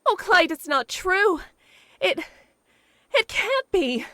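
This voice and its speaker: A woman's voice: high voice, expressive, a little slow, fairly bright tone, no echo, studio quality. An actress playing a young woman abandoned by her fiancé in an old-time radio drama, talking in a breathless, pleading way. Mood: distraught.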